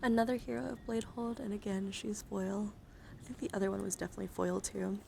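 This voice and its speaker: Female ASMR stream host, mid-pitched, soft casual commentary slipping into whispery murmurs, close mic over audible background noise. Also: flat delivery, quick pace, gravelly. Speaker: livestream host. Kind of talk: soft-spoken ASMR card show-and-tell.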